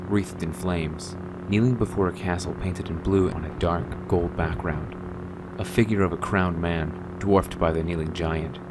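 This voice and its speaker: A man's voice: deep voice, noisy background. A man acting as the sober scene narrator of a scripted fan audio drama.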